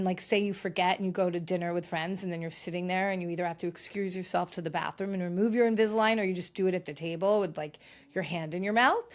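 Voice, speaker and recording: A woman hosting a solo podcast with a slight lisp, talking in a chatty, sarcastic way with rambling asides. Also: mid-range voice. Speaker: podcast host. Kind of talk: casual solo podcast chat.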